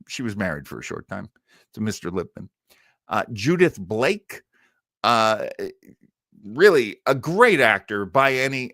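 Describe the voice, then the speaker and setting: A man's voice: medium pitch, a bit bright, no echo, clean studio sound. A man hosting a live stream about vintage radio, chatting casually and enthusiastically in a loose, rambling way. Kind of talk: casual informal commentary, reading live comments.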